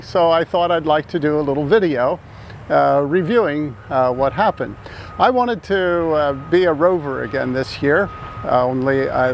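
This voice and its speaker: A man narrating an informal hobby video recap with hesitant, halting phrasing. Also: fairly high pitch, a little hiss or hum.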